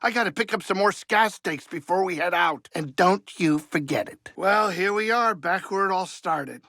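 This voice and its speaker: An actor playing a wisecracking older alien pilot and cook in a video game, grumbling and blustery but warm-hearted. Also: male voice, high voice, moderate pace, dry recording, studio quality, full character voice. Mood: nervous bravado. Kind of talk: video game character acting.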